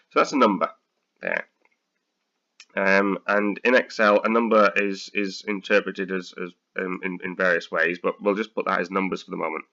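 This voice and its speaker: A British man with a slightly croaky voice, tutoring accounting students through a software lesson, hesitant with many ums and restarts. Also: low voice, highs cut off. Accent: British English. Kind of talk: screen-recorded software tutorial.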